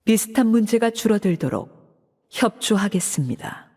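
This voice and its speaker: A woman voicing a cold, composed female leader in a video game, speaking in a measured, formal, controlled way. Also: mid-range voice, expressive, a little mellow, slight background noise, lightly acted. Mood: cool. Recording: reverberant game audio.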